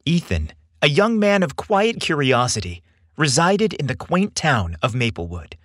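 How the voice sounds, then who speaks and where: A male podcast narrator with a husky voice and a widely swooping, expressive pitch. He reads scripted material in a theatrical, deliberate way, recorded close and dry. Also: dry recording. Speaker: podcast host and story narrator.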